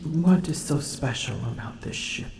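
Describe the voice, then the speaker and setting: A woman's voice: sits low, lively intonation, a little slow, darkish tone, a little hiss or hum. A woman voicing a haughty, drowsy and grumpy female dragon in an ASMR roleplay, speaking in formal old-fashioned phrasing and often whispering. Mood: haughty, amused. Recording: roomy echo.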